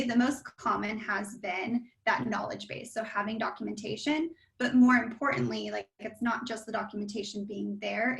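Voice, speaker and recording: Woman, slightly high pitch, gravelly vocal fry, casual panel chat. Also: fairly bright tone, low-quality recording.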